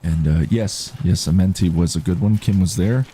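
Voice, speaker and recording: A man with a baritone voice giving calm, unhurried commentary while he plays a game and reads chat on a live stream. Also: a little hiss or hum. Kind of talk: relaxed live gameplay commentary.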